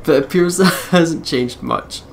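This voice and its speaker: A man with a fairly high, gravelly voice reads game narration aloud as a let's play host. His delivery is expressive and breaks into casual commentary, with faint noise behind him. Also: measured pace.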